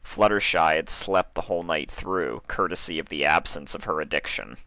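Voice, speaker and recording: A man narrates a written story in a very deep voice with a bright, forceful edge. His delivery is deliberate and theatrical, and the sound is dry and close. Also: no room sound. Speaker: fan fiction reader, narrator. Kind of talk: scripted dramatic story narration.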